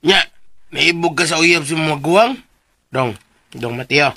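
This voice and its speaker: An actor playing a teasing, excitable, rather dim buddy who halts and repeats his words, in a radio drama with faint hiss. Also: a little high, heavily acted. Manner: halting, stuttering, repeats words.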